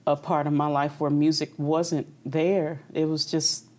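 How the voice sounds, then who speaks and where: A young woman from Kentucky, an opera singer being interviewed, talking in a relaxed, reflective way with easy laughs. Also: deep voice, measured pace. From Kentucky, United States. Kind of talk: conversational interview.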